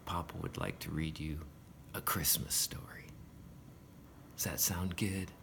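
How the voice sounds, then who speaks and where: An older man, a grandfather, reading a classic poem aloud to young children in a gentle storytelling manner, with short asides to explain. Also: low-pitched, steady tone, a little slow, vocal fry, whispered.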